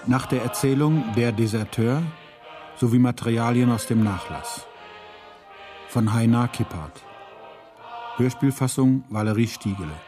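Male credits announcer, mellow dark timbre, mid pitch, formal, faint music behind. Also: measured pace, pauses often. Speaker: radio announcer reading credits.

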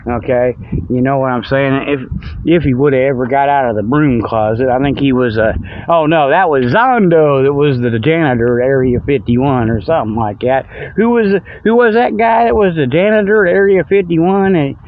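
A man's voice: medium pitch, lively intonation, moderate pace, phone-quality sound. An older man hosting a hobby radio show, rambling in a folksy, joking, meandering way. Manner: folksy, meandering, chuckles at own jokes. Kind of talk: rambling casual monologue, joking. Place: outdoors by a river.

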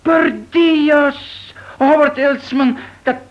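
A flustered gentleman in a radio play. His high voice stumbles as he protests. The recording is old and dull. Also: steady tone, extremely slow, heavily acted. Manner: stammering, protesting. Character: flustered, defensive Spanish husband protesting his innocence. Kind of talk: Dutch radio play acting.

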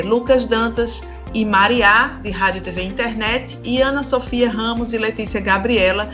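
Woman radio host, mid-pitched. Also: fairly bright tone. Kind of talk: programme sign-off, semi-scripted.

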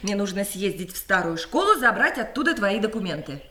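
A woman's voice: medium pitch, very animated, brisk, sharp tone, echoey room, a little hiss or hum. A woman playing a busy, fretful mother in a children's audio play. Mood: harried. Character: busy, harried mother in the morning.

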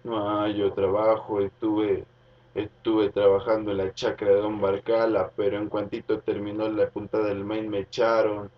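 A man's voice: fairly low pitch, completely flat delivery, normal speed, natural voice. A man playing a humble, poor peasant of thirty under arrest in a radio drama, meek and hesitant. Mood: meek, downcast. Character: humble, poor thirty-year-old male peasant detainee. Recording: muffled, distant, music behind.

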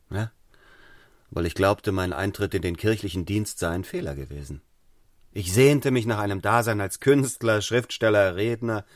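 An austere young missionary clergyman, played by a male actor for a radio play. His voice is fairly low and tightly controlled, with formal, deliberate phrasing and fervour held in check. The sound is very dry. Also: dry recording, close to an everyday voice. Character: austere, fervent young clergyman, stern and self-restrained. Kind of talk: radio drama acting.

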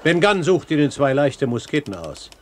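An actor playing a self-assured, courteous pirate captain in a German radio play, speaking formally and with measured articulation. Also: male voice, a little high, very animated. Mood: calm, authoritative. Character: self-assured male pirate captain, commanding yet courteous great-uncle. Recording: 1970s radio recording, faint hiss.

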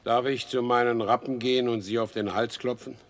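A man's voice: medium pitch, level tone, a little fast, close to an everyday voice. A man in a radio play acting a humble, resigned condemned horse dealer, speaking in a subdued, tender way.

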